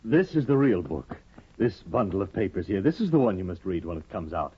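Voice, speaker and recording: An actor playing a reserved, brooding writer in a 1950s radio drama, speaking gravely and intensely. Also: male voice, sits low, sing-song, brisk, full character voice. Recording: dull vintage 1950 radio recording.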